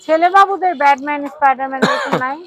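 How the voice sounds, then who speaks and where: A Bangladeshi woman with a high voice hosts a casual shopping video. She talks very quickly, firing off short questions to a seller and repeating details for viewers. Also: noisy background. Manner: repeats prices and phrases, asks short questions. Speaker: video host presenting products. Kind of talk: casual shop showcase, chatting with a seller.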